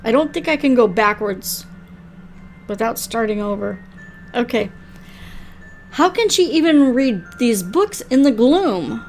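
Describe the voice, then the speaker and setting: Older female gaming host and story reader: high-pitched, slow, casual, with light music under the voice. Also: lively intonation. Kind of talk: casual commentary and read-aloud narration of a visual novel.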